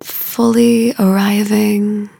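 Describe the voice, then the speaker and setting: Slow, mellow woman guiding meditation, creaky voice. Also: mid-range voice, level tone, no room sound. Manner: soothing, hushed, soft and breathy at phrase ends; unhurried with drawn-out phrases. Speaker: meditation guide. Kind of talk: guided meditation.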